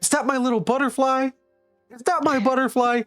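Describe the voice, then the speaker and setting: A man's voice: very high-pitched, fairly even intonation, a little fast, fairly bright tone, no room sound, soft background music, voice pushed up. A man game master voicing a cheerful, doting middle-aged father who gushes and rambles. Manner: gushing, rambling, fussy.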